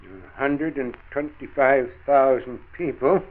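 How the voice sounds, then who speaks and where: A man's voice: mid-range voice, takes it slowly, reverberant, close to an everyday voice. An actor playing an elderly, genial, dogged grandfather with a folksy, hesitant manner in a 1940s radio drama. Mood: genial, curious. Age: older. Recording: muffled, band-limited 1940s radio recording.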